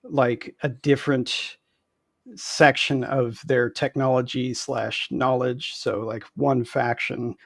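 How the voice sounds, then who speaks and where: A man in his thirties, a guest game designer, explaining haltingly on a video call with many "like"s and "um"s. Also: medium pitch, steady tone, vocal fry, no echo. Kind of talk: explaining in casual interview. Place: indoor room, on a video call.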